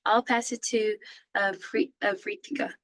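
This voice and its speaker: A young woman from Texas, a recent graduate student, introducing herself in a halting way. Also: mid-range voice, flat delivery, fairly bright tone, no room sound. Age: young adult.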